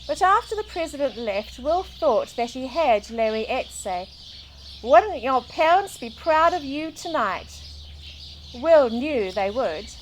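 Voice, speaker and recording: Lady reading a kids book outside, slow and expressive, birds chirping behind her. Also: high-pitched, a little hiss or hum, poor audio. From New Zealand. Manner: expressive, deliberate read-aloud delivery. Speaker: children's book reader. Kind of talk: storybook narration for children. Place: outdoors in a garden. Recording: outdoor recording with clear birdsong.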